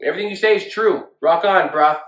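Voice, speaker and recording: A man's voice: sits high, fast, fairly bright tone. A man voicing a frightened, fawning crowd of ancient townsfolk, stammering and flustered. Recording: echoey room.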